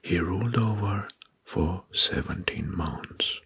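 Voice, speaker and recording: A man narrating soft-spoken ASMR in a breathy, husky whisper, deliberately repeating names and phrases. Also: low-pitched. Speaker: host of an ASMR history channel.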